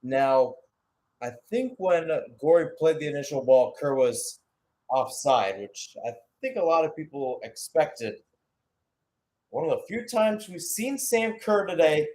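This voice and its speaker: A man giving live radio-style football play-by-play, halting and correcting himself in places, then surging with the action. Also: a little high, a little mellow, poor audio.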